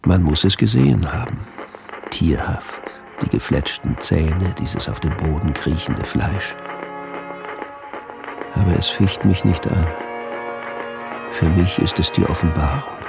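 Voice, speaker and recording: A man's voice: deep voice, measured pace, gravelly, pauses often, over loud music. An actor playing a deranged, fanatical doctor who declaims his diaries in solemn scriptural tones for a radio drama. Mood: brooding obsession. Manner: solemn, portentous, obsessive.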